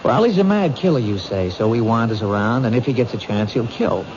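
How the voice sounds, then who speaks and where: A muffled old radio drama presents a man acting an earnest junior detective. His mid-pitched voice is very lively, pressing questions and reasoning aloud insistently. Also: fairly quick, close to an everyday voice. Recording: muffled old radio broadcast, faint noise.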